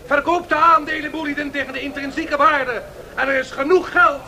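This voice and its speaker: An indignant, desperate gentleman pleads in a very high, tense voice. His speech is formal and urgent, as radio drama, with faint recording noise. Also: fairly even intonation, a little fast, full character voice. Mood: urgent, insistent. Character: indignant, desperate gentleman protesting a company's forced sale. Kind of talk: radio play acting. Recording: faintly noisy 1970s radio drama tape.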